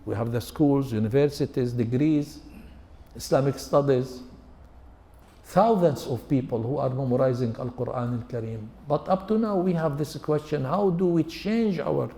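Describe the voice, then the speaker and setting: A man in his seventies, an Arabic speaker, delivers an English-language religious lecture. His voice is mellow and dark, and he piles up lists and rhetorical questions in a measured way. The sound carries a long reverb tail from a large hall. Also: mid-range voice, animated. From Lebanon. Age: 70s. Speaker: Islamic scholar and lecturer.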